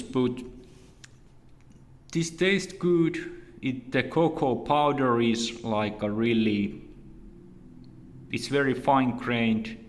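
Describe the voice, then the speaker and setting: A man from Finland, a native Finnish speaker with a Finnish accent, giving a casual, unscripted review in a hesitant, halting and repetitive way. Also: mid-range voice, a little hiss or hum. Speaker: video reviewer. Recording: echoey room with long reverb.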